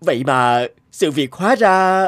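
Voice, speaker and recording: A man's voice: fairly high pitch, animated, unhurried, a bit bright, dry recording. An actor playing an earnest, hesitant grown man in a cartoon dub. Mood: concerned. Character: earnest, hesitant adult man, former unruly schoolboy. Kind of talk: cartoon dubbing.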